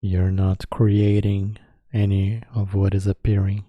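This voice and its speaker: A man leading a guided meditation, speaking calmly in sparse phrases with long pauses between them. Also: deep voice, dry recording, dull audio.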